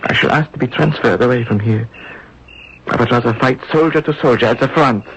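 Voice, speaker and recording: An actor playing an earnest, lovestruck young German soldier in a radio drama, pleading and halting. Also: male voice, mid-range voice, a bit bright, slight background noise, natural voice. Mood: earnest confession. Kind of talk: old-time radio drama acting. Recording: dull lo-fi radio broadcast recording.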